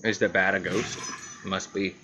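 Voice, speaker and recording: A man with a fairly low voice hosts a gaming video in a casual, off-the-cuff way. He rambles and reacts to himself as he plays. The home recording has clear background noise. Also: fairly even intonation, normal speed. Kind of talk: casual gameplay commentary.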